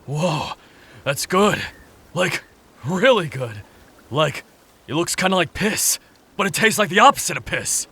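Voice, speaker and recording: A man playing a tough but awkward, easily flustered demon-fighting warrior in an audio drama, with halting delivery. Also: high-pitched, expressive, sharp tone, breathy edge, full character voice. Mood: surprised, pleased.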